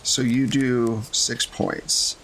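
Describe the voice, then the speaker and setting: A man's voice: mid-range voice, flat delivery, very slow, fairly bright tone, rough recording. Man, game master, improvised narration, casual, jokey, light noise and room ambience.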